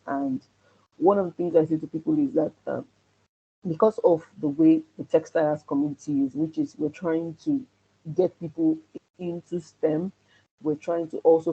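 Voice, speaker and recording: A man who says he has a loud voice teaches a security class on a video call, hesitating often with ums and restarts. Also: a little high, slightly rough. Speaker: security trainer. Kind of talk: online class presentation. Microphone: computer mic on a video call. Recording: dull lo-fi video call audio.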